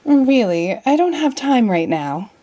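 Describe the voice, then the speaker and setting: A woman reader acts out a young storybook girl, polite and earnest. Her voice sits high and moves about expressively as she gently makes her case. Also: very animated, measured pace. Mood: polite, hurried.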